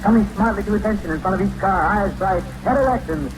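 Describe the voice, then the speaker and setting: A man's voice: high-pitched, fast talker, natural voice. An actor playing a pompous, fatherly older elevator captain in an old-time radio comedy. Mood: grandly proud. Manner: pompous, earnest, speechifying. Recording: noisy old radio recording, steady hiss.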